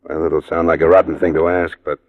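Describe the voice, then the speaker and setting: A man's voice: rumbling low, little pitch movement, brisk, no room sound. A man acting as a tough, world-weary insurance investigator with a clipped American delivery in a 1950s radio drama. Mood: somber, careful. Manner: clipped, hard-boiled. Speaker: actor in a radio detective series. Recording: muffled, noisy vintage radio recording.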